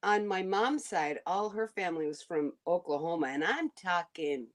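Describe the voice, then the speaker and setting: A woman from Alabama chatting as a podcast co-host, excitable and gushing. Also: on the low side, moderate pace. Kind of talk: casual chat.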